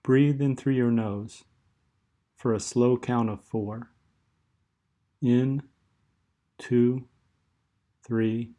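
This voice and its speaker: A man leading a guided meditation in a soothing, measured way, leaving long pauses as he speaks. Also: medium pitch, mellow.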